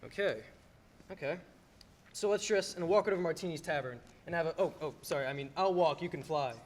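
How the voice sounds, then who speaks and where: A young performer playing a troubled, wry, skeptical family man in a radio play, halting and incredulous. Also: mid-range voice, close to an everyday voice. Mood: bewildered. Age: teens to young adult. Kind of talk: stage radio play acting.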